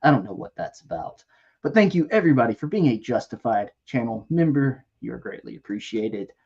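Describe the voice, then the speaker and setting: A man hosts a weekly toy livestream, thanking members by name and joking with his co-hosts. His voice is husky and going a little hoarse, with a lively, swinging pitch. The stream audio sounds dull and lo-fi. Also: a little fast. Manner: lively, jokey shout-outs to members. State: losing his voice. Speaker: livestream host. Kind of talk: casual livestream chat.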